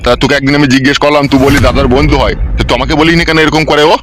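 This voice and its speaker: A man's voice: a little high, fairly even intonation, rapid-fire, natural voice. A man playing a blunt, scolding village uncle in a comedy cartoon, speaking colloquially with a Bengali accent. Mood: angry scolding.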